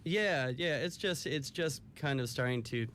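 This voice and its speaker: A man's voice: medium pitch, measured pace, fairly bright tone, a little hiss or hum. A man speaking for his band in a casual radio interview, easygoing and agreeable. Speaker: band member and spokesman. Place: radio studio.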